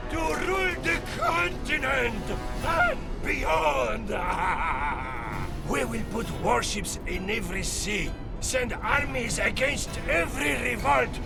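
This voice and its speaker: An actor playing a vain, scheming megalomaniac count in a video game, declaiming in a bombastic, grandiose way. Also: male voice, extremely high pitch, lively intonation, slow pace, gravelly, over loud music, exaggerated voice. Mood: grandiose, fervent. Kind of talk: theatrical video game villain acting.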